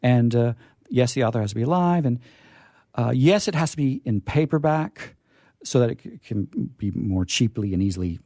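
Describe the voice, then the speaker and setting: A man hosting a casual live radio chat, animated and playfully contrary, who often stammers and repeats words and phrases. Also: medium pitch, normal speed, no room sound, clean studio sound. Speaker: radio show host.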